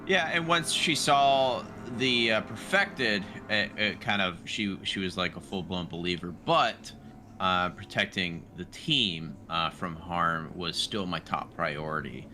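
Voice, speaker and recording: A man talking casually and haltingly as a player in a tabletop roleplaying game, recapping his character in slangy, informal words. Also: sits low, faint music behind. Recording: noisy home microphone, steady background noise.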